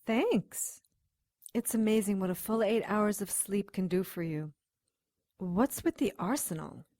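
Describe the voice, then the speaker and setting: An actress playing a monster hunter's concerned, sensible companion in an audio drama, questioning and firm. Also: female voice, mid-range voice, unhurried, warm tone, no room sound. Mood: wry, easygoing.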